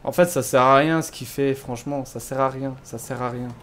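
A man in casual gaming chat, speaking at a middling pitch. He protests in slang and repeats his phrases, with faint game noise behind him. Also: steady tone, fairly quick, fairly bright tone. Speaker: streamer playing online GTA roleplay. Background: video game ambience.

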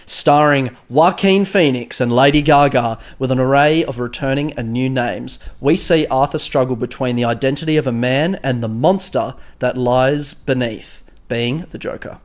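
A man's voice: medium pitch, moderate pace, hardly any pauses. A young man with a head cold and a nasal voice, co-hosting a podcast in casual, jokey banter. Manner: jokey, self-mocking, trailing off. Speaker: podcast co-host.

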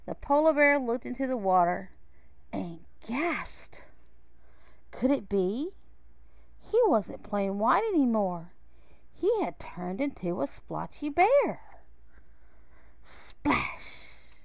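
A woman reading a children's picture book aloud in a measured, expressive way, with pauses. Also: a little high, creaky, dry recording. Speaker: storybook reader.

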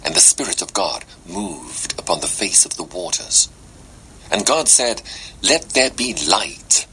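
A man giving a solemn, measured and dramatic scripture reading, played back through a small portable speaker. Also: low voice, big pitch swings, sharp tone. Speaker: audiobook narrator. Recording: small device speaker playback, noisy room.

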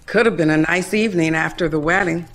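A woman's voice: lowish voice, fast. A woman playing a practical, placating aunt in an audio drama.

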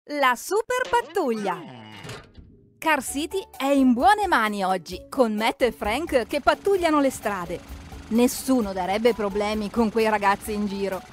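A woman narrating a cartoon for children in an expressive, exclamatory storytelling manner. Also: a little high, unhurried, soft background music.

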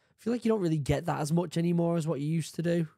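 A man's voice: on the high side, racing, vocal fry, mellow, dry recording, clean studio sound. A man in his thirties with a sore throat, chatting casually as he reacts to a video at home. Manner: chatty, jokey, laughs at himself. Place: home room with bookshelves. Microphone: studio mic on boom arm, headphones.

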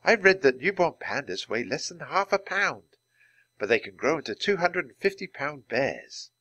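A man's voice: on the high side, sing-song, moderate pace, bright, forceful tone, no room sound, pitched above the performer's own voice, exaggerated voice. A man voicing an elegant older lady who speaks in a genteel, gracious manner.

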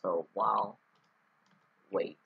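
A man's voice: deep voice, fairly even intonation, slow pace, vocal fry, husky, no room sound, phone-quality sound. A man talking through a casual screen-recorded coding tutorial, rambling with many ums and restarts. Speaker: scripting tutorial host.

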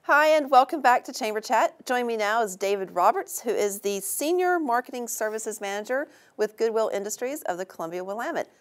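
A woman's voice: fairly high pitch, expressive, a little fast, hardly any pauses. A middle-aged woman from North Carolina with a Southern accent, hosting a local TV interview in a friendly, upbeat way. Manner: friendly, upbeat, laughs often. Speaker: talk show host. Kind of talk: studio interview. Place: TV studio set.

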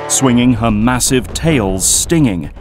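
A man's voice: mid-range voice, animated, takes it slowly, over music. A man narrating an action audio drama in a scripted, dramatic style.

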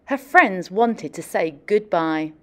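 Female children's storyteller, thirties: animated, slow and deliberate, encouraging tone. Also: on the low side, background noise. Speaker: teacher or librarian reading to children. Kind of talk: expressive children's storytelling and classroom questioning.

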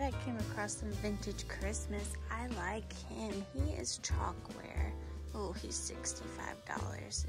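Woman, higher voice, casual vlogger narration, thinking aloud, murmured asides, clear music in the background. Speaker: vlogger and antiques reseller. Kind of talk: casual shop-along narration to viewers. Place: large indoor antique mall. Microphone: handheld phone held near her. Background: loud store music.

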